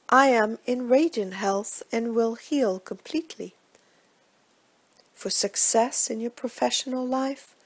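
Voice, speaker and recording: A woman guiding a relaxation meditation in a soft, breathy voice, with measured, hushed delivery and gentle phrase endings. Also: on the high side. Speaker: yoga nidra meditation guide. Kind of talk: guided relaxation meditation, scripted instruction.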